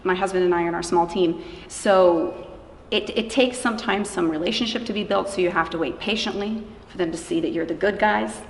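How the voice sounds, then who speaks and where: A woman's voice: on the low side, fairly quick, creaky. A woman giving earnest, conversational answers as an interviewee about her work. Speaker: interviewee, missionary running an anti-trafficking organization. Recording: echoey reverberant room, faint noise.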